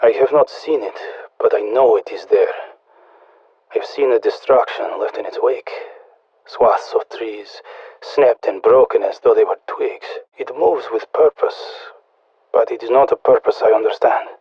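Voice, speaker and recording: An actor playing a stoic, haunted soldier stranded alone, speaking solemnly and with measured foreboding in a husky voice over a game radio transmission. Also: male voice, medium pitch, dry recording, highs cut off.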